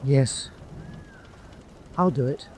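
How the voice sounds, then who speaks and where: A man's voice: mid-range voice, very slow, mellow, slight background noise. A man playing a nervous, reluctant escaper in a war radio drama, speaking hesitantly. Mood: sheepish, resigned. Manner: hesitant, unsure. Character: nervous, reluctant young POW escaper, sent to sabotage. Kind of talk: vintage-style war radio drama acting.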